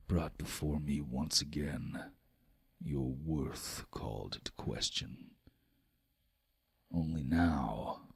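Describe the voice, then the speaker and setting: A man voicing a stern, imposing fantasy Jarl, speaking slow, weighty pronouncements in a tabletop game. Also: rumbling low, no room sound, studio quality, full character voice. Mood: stern, challenging. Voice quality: gravelly, breathy at times. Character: stern, imposing male Jarl passing judgment in a fantasy hall. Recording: music behind the voice.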